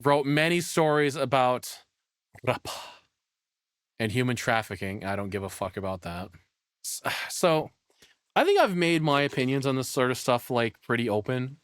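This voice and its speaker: A man streams his reactions in a casual, off-the-cuff way. His middle-pitched voice sounds dry and close, and he rambles through blunt, profanity-laced opinions. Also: animated, moderate pace, a bit bright, clear, resonant tone, no room sound.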